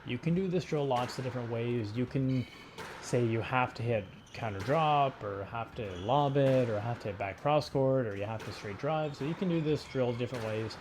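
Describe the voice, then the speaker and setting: A man coaching in an informal, explanatory way, talking through sports drills in halting phrases. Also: mid-range voice, a little mellow, slight background noise. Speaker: squash coach. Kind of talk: instructional sports commentary over drill footage.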